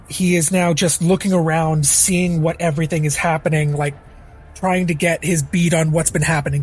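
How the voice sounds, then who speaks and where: A man's voice: on the high side, darkish tone, slightly rough. A man playing a tabletop roleplaying game, casually narrating his character's actions as he thinks aloud.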